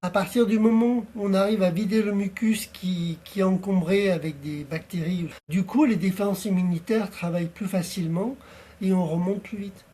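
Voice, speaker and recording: Practical man in his fifties, husky and mellow, plain-spoken interview guest. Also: high-pitched. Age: 50s. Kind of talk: casual interview talk.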